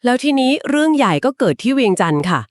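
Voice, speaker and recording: A woman co-hosting a podcast, retelling an old legend conversationally and explaining it at length, with polite particles and short filler pauses. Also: on the high side, big pitch swings, a little mellow, dry recording, studio quality. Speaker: podcast co-host. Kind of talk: conversational storytelling of a folk legend.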